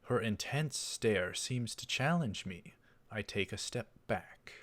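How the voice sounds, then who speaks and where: A man's voice: fairly low pitch, animated, slow pace, fairly bright tone. A man doing a game playthrough, reading the story text aloud and adding casual asides. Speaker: let's play host. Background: game music.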